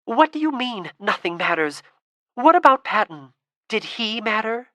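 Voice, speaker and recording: A stubborn teenage girl, earnest and quick to push back, as a woman narrator puts her on. She speaks in a raised, lively voice that swings up and down. The sound is dry and close, like a storybook reading. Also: mid-range voice, no echo, pitched above the performer's own voice, close to an everyday voice. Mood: distraught. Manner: earnest, pleading, turning defiant.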